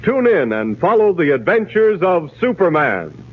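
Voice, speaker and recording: A male American announcer delivers emphatic 1940s serial narration. His slightly high, husky voice is theatrical and animated. The sound is narrow and tinny, from an old radio broadcast. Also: moderate pace.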